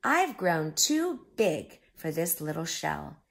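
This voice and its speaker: A woman voicing a gentle, earnest hermit crab in a children's storybook, speaking politely and with expression. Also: low voice, sing-song, slow pace, creaky, exaggerated voice. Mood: worried.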